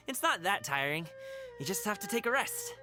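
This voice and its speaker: A man's voice: high voice, big pitch swings, moderate pace, music in the background. An actor playing a friendly, confident young male guard in a video game, upbeat and eager to reassure. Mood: easygoing. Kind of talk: English video game voice acting.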